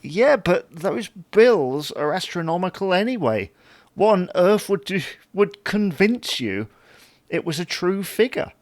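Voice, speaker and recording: Man acting an exasperated husband, animated and swooping. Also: sits high, unhurried, dry recording. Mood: indignant. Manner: indignant, sarcastic bickering. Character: exasperated, put-upon husband in a domestic squabble. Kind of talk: comedy audio drama dialogue.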